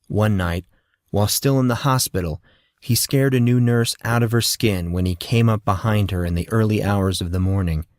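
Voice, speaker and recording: A man's voice: on the low side, darkish tone, dry recording, clean studio sound. A man narrating scripted fiction as an audiobook storyteller.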